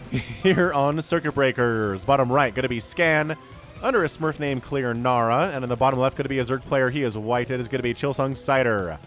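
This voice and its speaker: A man with a congested voice from a cold, casting a strategy game match in a chatty, joking way. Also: mid-range voice. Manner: chatty, joking asides, excited at fights. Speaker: StarCraft caster and show host. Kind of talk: casual game commentary.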